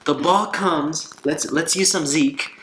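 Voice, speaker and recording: A man's voice: fairly high pitch, takes it slowly, a bit bright, slight background noise. A man co-hosting a vlog, casually telling a personal story. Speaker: vlog co-host. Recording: echoey room with faint music.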